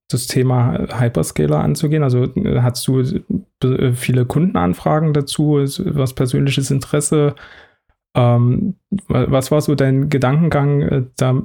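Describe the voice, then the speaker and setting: A man's voice: mid-range voice, vocal fry, dark tone. A man hosting an IT podcast, asking questions with plenty of hesitations and restarts. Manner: hesitant, many fillers and restarts. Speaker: podcast host. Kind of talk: casual interview chat.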